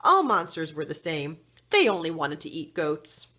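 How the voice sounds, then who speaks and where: Expressive woman reading a children's storybook. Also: low voice. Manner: expressive, deliberate storytelling delivery. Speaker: story reader. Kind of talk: read-aloud children's storybook narration.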